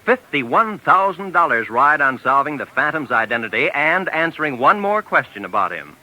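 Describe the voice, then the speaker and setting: A man's voice: mid-range voice, pushed and bright, poor audio. A man with an American accent reading scripted 1940s radio network announcements in a polished, emphatic way. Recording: vintage 1940s radio recording.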